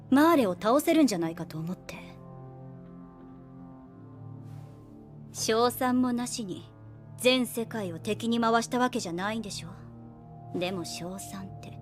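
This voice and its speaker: An actress playing a shrewd young woman soldier in an anime drama, composed, unhurried and coolly persuasive with a soft teasing edge. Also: a little high, animated, close to an everyday voice. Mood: calm, probing. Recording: background music under the voice. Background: music.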